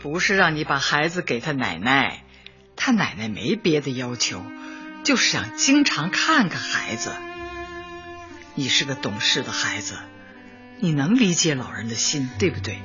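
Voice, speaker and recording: A woman's voice: a bass voice, very animated, moderate pace, muffled recording, music in the background. An actress playing a kindly elderly woman community party secretary in a Chinese radio drama, patient and coaxing. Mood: gently persuading.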